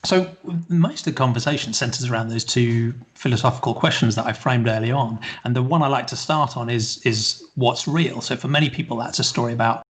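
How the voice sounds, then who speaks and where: A man hosting a podcast, asking measured, encouraging interview questions. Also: medium pitch. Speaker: podcast host.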